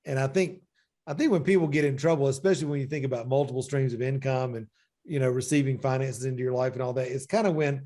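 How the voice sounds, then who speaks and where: A man's voice: mid-range voice, steady tone, fast, dark tone. A man in his forties hosting a faith-based interview, talking reflectively and often saying "you know.". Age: 40s. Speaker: podcast host.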